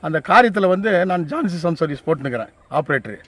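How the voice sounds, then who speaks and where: A man's voice: on the high side, sing-song, racing, fairly bright tone, no room sound. An older man reminisces casually in an interview as a veteran sound operator, deferential and repetitive as he lists things from memory. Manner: deferential, often addressing listener as sir.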